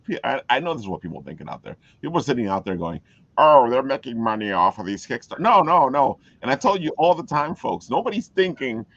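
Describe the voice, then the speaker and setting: A man hosting a casual chat show in an excitable, folksy way, addressing listeners directly. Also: mid-range voice, fast talker, no room sound. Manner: excitable, talks to listeners as folks, laughs often. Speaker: podcast host. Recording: dull lo-fi call audio.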